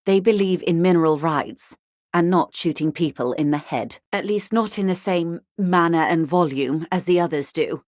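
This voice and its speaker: An actress playing a worldly, genteel corporate negotiator in a video game, speaking in a dry, ironic, measured and articulate way. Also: female voice, fairly low pitch, a little mellow, no room sound, lightly acted. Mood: wry, detached. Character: worldly, wry corporate negotiator woman, coolly detached and genteel. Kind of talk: scripted video game dialogue.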